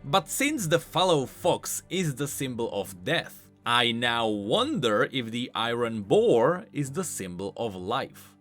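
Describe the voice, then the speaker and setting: Male host for game lore explainer: lively intonation, emphatic, faint music behind. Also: mid-range voice, slow, few pauses. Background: faint background music.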